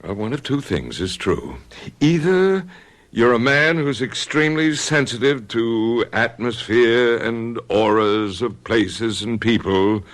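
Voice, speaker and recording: A calm, inquisitive doctor in an old radio mystery drama. His speech is measured and reassuring, with a pitch that rises and falls in lively swings. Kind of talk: 1970s radio drama acting.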